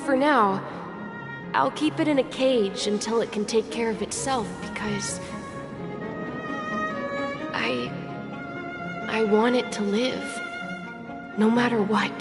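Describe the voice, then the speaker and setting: Slow, solemn young boy. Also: a little high. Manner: hesitant, earnest, pausing. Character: solemn, hesitant, thoughtful young boy, protective brother. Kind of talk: scripted game voice acting. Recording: long hall reverb over music.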